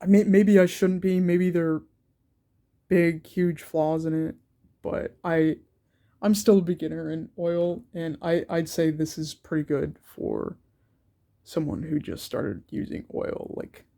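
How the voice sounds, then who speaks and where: Young man narrating an art vlog while stuffed up from a cold; slow, gravelly, mellow delivery, halting and unsure. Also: fairly high pitch, fairly even intonation. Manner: hesitant, many ums and repeated words. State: congested from a cold. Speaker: art channel creator.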